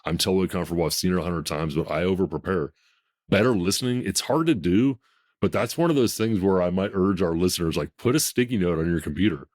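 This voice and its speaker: A man in his fifties hosts a podcast conversation, his deep voice sitting very low. He talks bluntly and emphatically, with a self-assured tone. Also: quick pace, a little mellow. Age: 50s. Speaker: podcast host.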